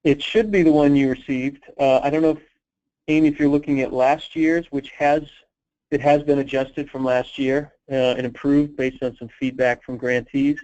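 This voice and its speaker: A man's medium-pitched voice comes over a dull, lo-fi conference line. He keeps a flat, even intonation as he fields questions. His delivery is unscripted and halting, in the explanatory manner of a program briefing. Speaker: presenter answering callers' questions on a conference call. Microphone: telephone conference call.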